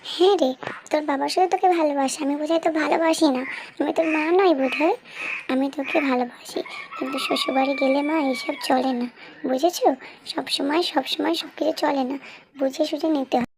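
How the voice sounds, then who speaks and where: Woman voicing a high-pitched chiding cartoon mother. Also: steady tone, a little fast, gravelly. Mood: exasperated, scolding. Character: strict, exasperated mother and wife in a village family. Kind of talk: amateur cartoon dubbing. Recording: noisy amateur home recording.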